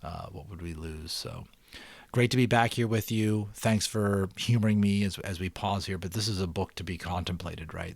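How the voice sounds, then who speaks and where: Middle-aged male audiobook host: lowish voice, dry close sound, earnest and expressive storytelling. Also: vocal fry, no room sound. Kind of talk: dramatic book narration with casual commentary.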